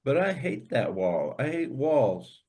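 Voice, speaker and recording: A man's voice: mid-range voice, big pitch swings, takes it slowly, a little mellow. A man playing a frank, eager schoolboy son in a stage play, with a blunt, casual way of talking. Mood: frank, defiant. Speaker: actor in a staged reader's theater.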